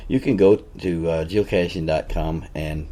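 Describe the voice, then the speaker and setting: A man's voice: low voice, a little slow, warm tone. A man hosting a casual podcast, rambling a little with fillers and restarts. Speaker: podcast host.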